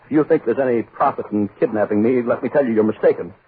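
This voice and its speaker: A man's voice: mid-range voice, fast, slight background noise, natural voice. An actor playing a pompous, irritable wealthy middle-aged man who blusters, pleads and repeats himself, in 1940s American radio horror style. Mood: frightened, pleading. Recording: muffled old 1940s radio recording.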